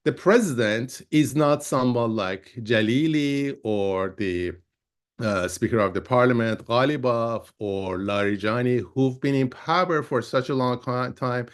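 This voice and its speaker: A man explaining his analysis on a panel discussion, thinking aloud as he goes. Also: mid-range voice. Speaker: policy analyst.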